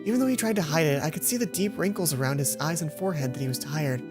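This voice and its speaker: A woman's voice: low voice, fast, music in the background. A woman hosting a let's play, reading a visual novel's narration aloud and sometimes stumbling and rereading lines.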